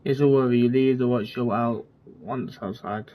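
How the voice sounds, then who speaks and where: A man's voice: medium pitch, fairly even intonation, moderate pace, phone-quality sound, slight background noise. A man giving casual, rambling commentary as he plays through a story-driven video game, with informal asides. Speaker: gamer reacting to a game story.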